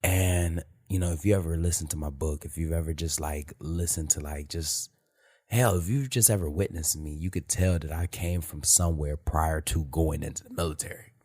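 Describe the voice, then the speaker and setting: Deep-voiced man, loose podcast talk. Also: fairly even intonation, normal speed, warm tone, slightly rough. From Detroit, USA. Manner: loose, rambling, peppered with "you feel me" and profanity. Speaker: podcast host. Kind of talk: unscripted casual monologue.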